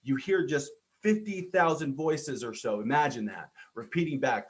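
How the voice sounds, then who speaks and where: A man's voice: on the high side, normal speed, breathy edge. Earnest man hosting a motivational podcast. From Texas, United States. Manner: earnest, lots of filler ums and repeated words. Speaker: podcast host. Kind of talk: motivational personal monologue.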